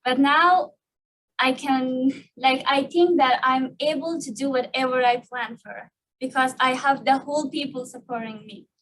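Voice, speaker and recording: A woman's voice: sits high, fairly even intonation, a little slow, a bit bright, poor audio. A young woman student answering on a panel, eager and direct.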